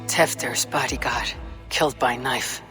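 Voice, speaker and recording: An actor voicing a calm, thoughtful Viking warrior who murmurs clues to himself in a video game. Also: male voice, mid-range voice, natural voice. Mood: musing. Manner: measured, muttering thoughts half-whispered. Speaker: game protagonist. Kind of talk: video game cutscene acting. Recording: game audio with music.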